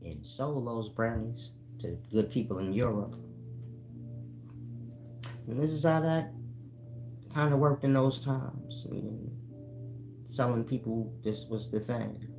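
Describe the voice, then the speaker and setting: A man's voice: mid-range voice, expressive, slow pace, long pauses, warm tone, poor audio. An American man giving a casual explanatory monologue in blunt, colloquial, sweary language, often checking that listeners follow. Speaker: online spiritual teacher and channel host. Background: music.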